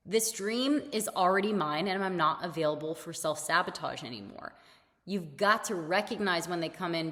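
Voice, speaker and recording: A woman with a fairly low, slightly raspy voice talks through a solo motivational podcast. She keeps it casual and conversational and repeats her main points. A long reverb trails behind her words. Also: fairly bright tone. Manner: casual and conversational, repeats key points, laughs between phrases. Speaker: podcast host.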